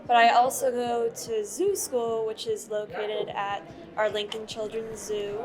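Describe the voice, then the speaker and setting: A teenage female high school student giving casual interview answers. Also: little pitch movement, a little slow, crowd noise. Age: teens. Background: background music.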